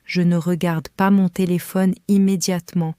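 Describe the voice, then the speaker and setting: Female reader for beginner lessons, calm and careful, flat even delivery, dry close sound. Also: on the low side, moderate pace, dry recording. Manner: calm, careful, clearly spaced phrases. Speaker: French reader for learners. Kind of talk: slow scripted reading for beginners.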